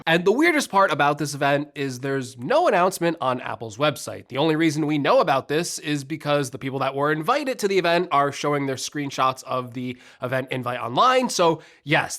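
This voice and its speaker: Male tech vlogger, congested and a bit rough, chatty upbeat delivery. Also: a little high, animated, a little fast, hardly any pauses, fairly bright tone. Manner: chatty, upbeat, self-deprecating. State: congested, slightly rough voice from recovering illness. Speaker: tech channel host. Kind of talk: casual tech news vlog.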